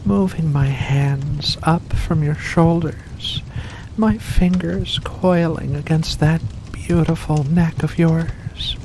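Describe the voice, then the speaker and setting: A performer voicing a seductive, predatory vampire in an intimate ASMR roleplay, speaking with slow, purring menace. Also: mid-range voice, steady tone, noisy background. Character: seductive, predatory furry vampire luring prey.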